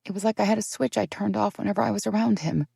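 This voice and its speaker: A woman's voice: medium pitch, fast, vocal fry, dark tone, slightly rough, no echo, clean studio sound, voice pushed up. A woman reading an audiobook voices a distraught young woman confessing a painful secret, her speech pleading and breaking into tears.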